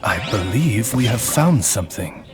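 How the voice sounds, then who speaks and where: A man voicing a courtly, formal raven familiar in a video game, with deliberate, eloquent delivery. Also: lowish voice, very animated, over music. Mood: measured, questioning. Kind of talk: video game character acting.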